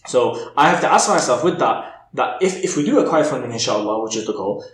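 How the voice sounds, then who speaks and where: A man's voice: mid-range voice, sing-song, brisk. A man from England, a podcast guest thinking aloud candidly, often restarting his sentences. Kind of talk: casual interview chat. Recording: roomy echo.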